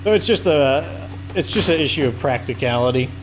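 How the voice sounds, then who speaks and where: A man with a hoarse voice giving loose, rambling commentary while he plays a video game. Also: medium pitch, lively intonation, fairly quick, over music, poor audio. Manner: rambling, filler-heavy explaining. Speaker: let's play host. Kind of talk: casual gameplay commentary.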